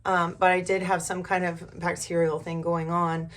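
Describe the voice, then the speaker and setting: Hoarse, low-voiced woman vlogging candidly. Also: flat delivery, moderate pace. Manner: candid, informal, mildly profane. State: hoarse from a recent illness. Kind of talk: casual personal storytelling.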